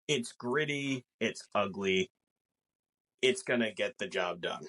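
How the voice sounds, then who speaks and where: Lower-voiced man podcasting. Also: animated, slow pace, bright, forceful tone. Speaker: podcast co-host. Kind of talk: casual movie discussion.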